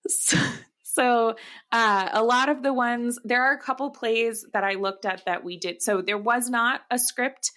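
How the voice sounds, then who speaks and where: Woman co-host, halting and conversational. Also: fairly high pitch, steady tone, a bit bright. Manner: halting, searching for words. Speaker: podcast co-host. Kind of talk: casual book-adaptation discussion.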